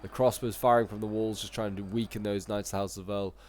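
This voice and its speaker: A man with a bit of a cold, chatting casually through strategy-game commentary, rambling along and then bursting out excitedly at big moments. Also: lowish voice, fast talker. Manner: chatty, with sudden excited outbursts at big plays. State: sniffly, coming down with a cold.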